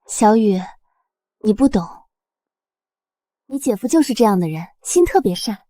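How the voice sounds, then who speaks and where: An actress playing an affectionate young woman in a family drama, speaking gently. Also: mid-range voice, sing-song, moderate pace, no echo, clean studio sound, close to an everyday voice. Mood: gentle. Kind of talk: scripted drama acting.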